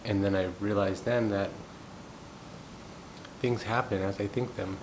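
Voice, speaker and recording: Brief: thirties male, from the US, musing monologue, flat delivery, rumbling noise in the background. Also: rough recording. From United States. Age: 30s. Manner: thoughtful, unhurried, musing aloud. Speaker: gong practitioner sharing thoughts. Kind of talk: reflective personal monologue.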